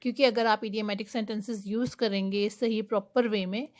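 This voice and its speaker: A young woman teaching IELTS speaking tips, giving her English tips slowly and deliberately between quicker explanations. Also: mid-range voice, steady tone, darkish tone. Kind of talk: explanatory lesson talk.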